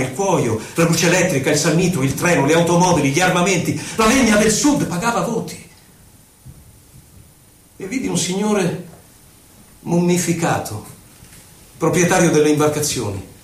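An actor playing an indignant, solemn exiled Chilean poet-senator, reciting deliberately in a theatrical radio style with dramatic pauses. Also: male voice, on the high side, natural voice. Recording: roomy echo, distant from microphone.

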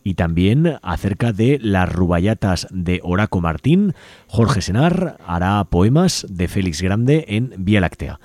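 A man's voice: low-pitched, very animated, measured pace, darkish tone, dry recording. A man with an accent from Spain, hosting a community radio show and reading out event listings. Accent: Spanish from Spain.

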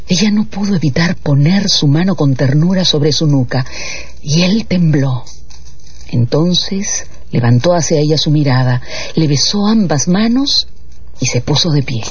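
A man's voice: medium pitch, breathy edge. A man narrating a radio novel in a scripted, dramatic literary style. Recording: dull radio sound, music behind.

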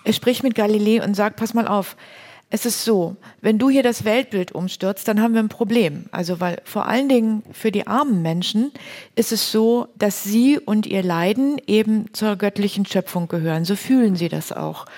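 A woman with a medium-pitched voice hosts a conversation. She retells a story in everyday, colloquial words and leads the talk along with questions. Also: normal speed, a little mellow. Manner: colloquial, plain-spoken. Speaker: host.

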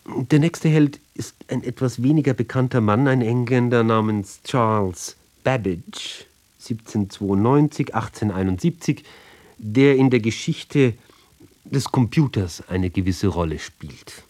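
A man giving a literary reading of narrative poems, with deliberate, measured phrasing and pauses between short fragments. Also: medium pitch, a little mellow.

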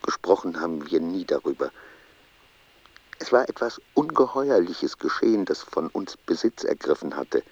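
An actor playing a brooding man who confesses an obsessive affair in a literary radio play, with slow, deliberate delivery. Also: low-pitched, big pitch swings, darkish tone, dull audio, heavily acted. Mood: brooding, haunted.

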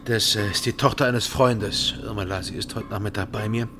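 An actor playing a troubled, gentle loner in a radio crime drama, speaking in an understated, slightly hesitant way. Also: male voice, lowish voice, fairly even intonation, brisk, over music, close to an everyday voice. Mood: gentle, reassuring. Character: awkward, troubled loner man, gentle with a child. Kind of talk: German radio crime drama acting and narration.

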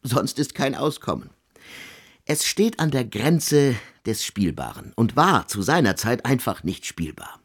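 An actor playing an aloof, intellectual young composer in a literary radio drama, lecturing with offhand irony. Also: male voice, mid-range voice, very animated, unhurried, breathy edge, heavily acted. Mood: wry, lecturing.

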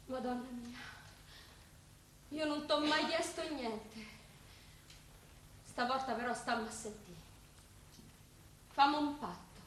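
A woman's voice: high-pitched, takes it slowly, pauses often. A woman acting in a Roman-dialect radio play as a devout, plain-spoken, stubborn working-class woman. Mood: pleading, solemn. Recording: echoey, distant, faint background noise.

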